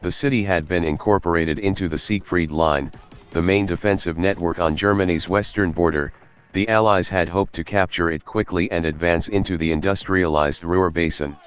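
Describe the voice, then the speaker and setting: A man's voice: sits low, fairly even intonation, normal speed, clear, resonant tone, faint music behind. A man reading formal, scripted history documentary narration.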